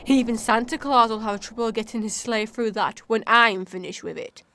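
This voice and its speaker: A woman plays a haughty, breezy spirit of wintertime in a radio play. Her voice is fairly high, flippant and self-satisfied, with faint noise on the recording. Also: steady tone, normal speed, sharp tone. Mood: breezy, boastful. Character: Winter, breezy, haughty, party-loving female season spirit. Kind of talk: radio drama acting.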